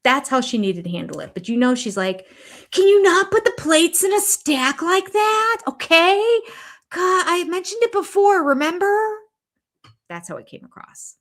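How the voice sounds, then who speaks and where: A woman's voice: very high voice, very animated, moderate pace, a bit bright. An older woman hosting a casual watch-along stream, chatting about a reality show and reading viewer comments aloud. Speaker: YouTube host.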